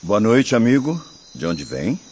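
An actor playing a friendly, compassionate spiritual visitor in a radio drama. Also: male voice, mid-range voice, big pitch swings, a little slow, darkish tone. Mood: friendly, teasing. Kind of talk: Brazilian radio drama acting. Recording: dull lo-fi, slightly noisy.

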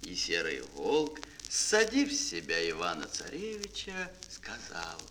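Мужчина театрально и декламационно рассказывает детскую сказку как рассказчик аудиоспектакля. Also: male voice, low voice, huge pitch swings, a little slow, pushed and bright. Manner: theatrical, declamatory. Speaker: narrator. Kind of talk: dramatic fairy-tale narration for children. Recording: old mono record, faint noise.

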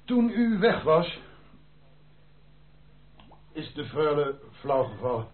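A man's voice: on the high side, sing-song, slow, heavily acted. An actor playing a frank, loyal army captain in a Dutch radio drama, deferential but emphatic as he speaks. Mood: grave, recounting. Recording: muffled, band-limited old radio recording.

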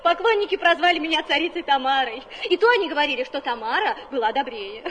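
A woman's voice: high-pitched, slight background noise. An actress playing a coquettish, teasing woman of the stage with tenderness underneath, in a theatrical radio drama. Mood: teasing confession. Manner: playful, deliberate, expressive.

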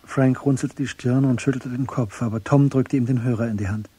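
A man narrating a scripted radio drama in a measured, matter-of-fact way. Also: medium pitch, steady tone, dark tone, breathy edge, dry recording.

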